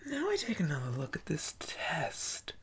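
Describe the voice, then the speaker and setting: A man playing a deranged, taunting monster boy inmate in an ASMR horror roleplay, with a breathy, whispery rasp and a slow, teasing drawl. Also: on the high side, wildly swooping pitch, a bit bright, heavily acted. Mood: sly, menacing.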